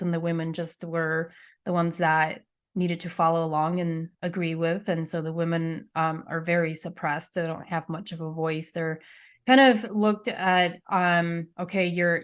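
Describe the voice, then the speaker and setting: A young woman with a Pennsylvania Dutch accent, her first language, telling her life story as a podcast guest in a chatty way, full of fillers and restarts. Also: on the low side. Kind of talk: casual interview storytelling.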